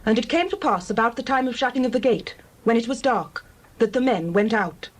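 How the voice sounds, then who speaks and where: Actress as Rahab, a high-voiced earnest woman. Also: lively intonation, a little fast. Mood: urgent, persuasive. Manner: earnest, dramatic. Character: Rahab, urgent persuasive woman of ancient Jericho. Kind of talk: dramatized audio Bible.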